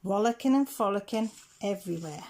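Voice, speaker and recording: A woman reading rhyming picture-book stories aloud in a measured, rhythmic way. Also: medium pitch, animated, darkish tone. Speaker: children's story reader.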